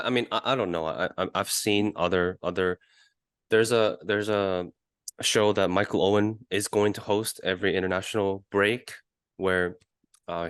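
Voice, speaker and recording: A man co-hosting a sports podcast in casual discussion, arguing his points haltingly and often repeating words. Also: deep voice, a bit bright, clear, resonant tone, dry recording. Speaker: podcast co-host.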